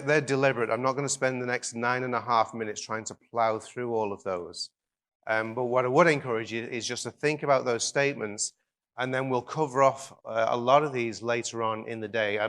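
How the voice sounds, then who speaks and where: A man's voice: mid-range voice, a little fast. Guy with an irish-liverpool accent doing a keynote, laid back and jokey, lots of ums. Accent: Irish-tinged Liverpool English. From England, raised near Liverpool in an Irish family. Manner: relaxed and joking, with frequent ums and restarts. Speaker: keynote speaker. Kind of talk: conference keynote talk. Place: conference stage.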